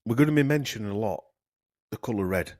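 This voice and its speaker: A man's voice: on the low side, animated, fast, a little mellow, no echo, clean studio sound. A man hosting a podcast, talking in an emphatic, opinionated way during a casual conversation. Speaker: podcast host. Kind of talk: casual conspiracy chat.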